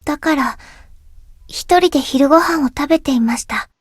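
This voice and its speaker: A woman plays a shy teenage schoolgirl from a visual novel. Her voice is high and soft, with wistful, hesitant, polite phrasing. The sound is close and dry. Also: fast, no echo, natural voice. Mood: quietly sad. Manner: polite, hesitant, speaking in short gentle phrases. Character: shy, wistful teenage schoolgirl, recently back from long absence. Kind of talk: Japanese visual novel voice acting.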